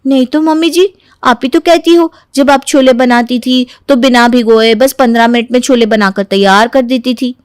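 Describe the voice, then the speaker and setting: A woman voicing a sly, playful young daughter-in-law in a cartoon story, sugary and expressive while feigning innocence. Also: high voice, moderate pace, clear, resonant tone, dry recording, studio quality, natural voice. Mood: mock innocent. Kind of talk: animated Hindi moral story voice acting.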